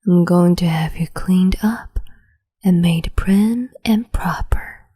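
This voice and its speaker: A woman playing a cruel, capricious empress in an ASMR roleplay, whispering in a slow, teasing, domineering way. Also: lowish voice, mellow. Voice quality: breathy whisper.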